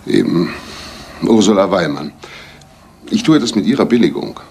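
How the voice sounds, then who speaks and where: Casting: restrained, formal husband in radio crime drama, deep husky voice, earnest delivery. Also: male voice, animated, normal speed, darkish tone, full character voice. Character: earnest married man confessing to visiting a prostitute. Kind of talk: German crime radio play acting.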